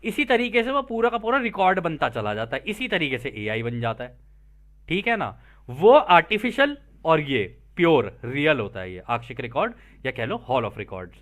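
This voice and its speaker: High-pitched, animated man explaining. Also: moderate pace. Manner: explanatory, addresses listeners directly with frequent check-in tags. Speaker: host and explainer. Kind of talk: reading a translated text with casual spoken commentary.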